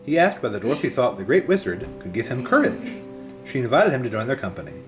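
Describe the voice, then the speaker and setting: A man reading a children's story aloud as scripted narration, in an expressive way. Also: medium pitch, fast talker. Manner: expressive read-aloud delivery. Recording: music behind the voice.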